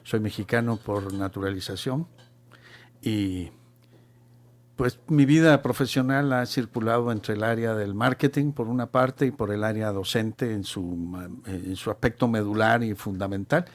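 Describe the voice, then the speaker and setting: A middle-aged man from Chile with a Chilean accent, speaking as a guest in a panel discussion, measured and repeating words as he searches for terms. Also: fairly low pitch.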